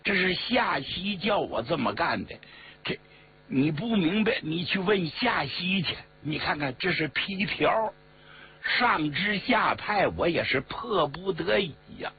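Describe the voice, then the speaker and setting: A man voicing a reluctant, evasive soldier in a told story, halting and apologetic as he follows orders. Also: high voice, expressive. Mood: helpless, evasive. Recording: muffled radio-like recording with mains hum.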